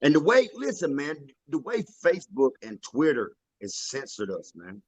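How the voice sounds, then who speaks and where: A man talks bluntly and emphatically, full of slang. His voice sits a little above a typical man's pitch. The recording is dry and close-miked. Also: huge pitch swings, normal speed, husky, dry recording. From North Carolina, US. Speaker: guest, tribe member. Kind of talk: casual chat.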